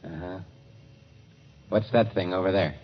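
An actor playing a brisk, pushy newspaper reporter in a 1940s radio drama. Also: male voice, low voice. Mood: curious. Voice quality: brisk, pressing. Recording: dull old radio recording, noisy.

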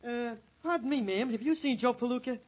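A man's voice: very high-pitched, a little fast. A man playing a hapless, slangy young lug with a stammering, blustering manner in an old-time radio comedy. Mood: insistent. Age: young adult. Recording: muffled, noisy old radio recording.

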